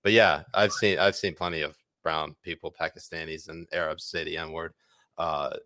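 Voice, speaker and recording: A man's voice: extremely low pitch, little pitch movement, normal speed, pushed and bright, no echo. A man co-hosting a podcast, chatting casually and irreverently about the news with crude jokes. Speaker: podcast co-host. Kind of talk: casual comedic news chat.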